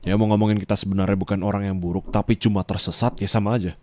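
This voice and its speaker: Guy doing asmr as a cold bitter ex, super deep voice, flat and dismissive. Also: very fast, natural voice. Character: cold, bitter young ex-boyfriend ending things. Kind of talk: ASMR roleplay.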